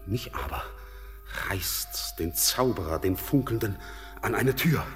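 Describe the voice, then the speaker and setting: Delirious young dreamer, radio drama, declamatory and drawn-out, in a big reverberant space. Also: male voice, on the low side, animated, a bit bright. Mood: entranced. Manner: slow, declamatory, halting stage delivery. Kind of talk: classical German radio drama acting. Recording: long hall reverb, music behind.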